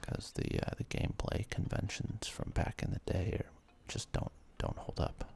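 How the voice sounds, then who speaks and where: A man whispers very softly and close to the mic, his low voice heavily crackling with fry. He narrates a video game in ASMR style, unhurried and self-deprecating, with casual asides to the listener. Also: wildly swooping pitch. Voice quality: breathy whisper with gravelly creak. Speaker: host of a gaming ASMR series. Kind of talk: ASMR let's play commentary.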